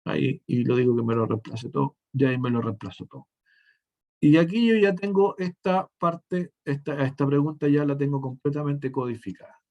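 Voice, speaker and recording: A male teacher, walking through a software demonstration step by step and often restarting his sentences mid-thought. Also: mid-range voice, expressive, normal speed, dull audio. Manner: step-by-step explaining, frequent self-corrections and restarts. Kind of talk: screen-share software tutorial.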